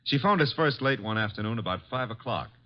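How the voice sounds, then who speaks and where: A man's voice: mid-range voice, animated, brisk, a little hiss or hum, natural voice. An actor playing a breezy, girl-smitten detective's assistant who narrates an old radio drama with wry teasing. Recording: muffled vintage radio broadcast.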